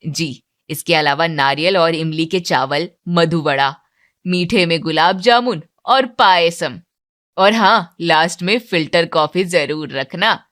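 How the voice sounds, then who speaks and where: A woman's voice: on the low side, slow pace, fairly bright tone, no room sound, clean studio sound. A polite young man from South India acted by a woman narrator in a cartoon story. He explains things patiently and helpfully. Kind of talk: Hindi moral-story cartoon character.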